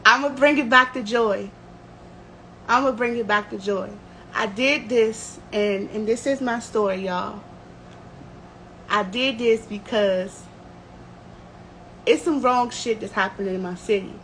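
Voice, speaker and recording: Bright, higher-voiced woman sharing her story. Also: a little slow, pauses often, low-quality recording. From United States. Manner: candid, emotional, emphatic, swearing. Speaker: livestream host. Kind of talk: personal storytelling on a livestream. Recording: clear background noise.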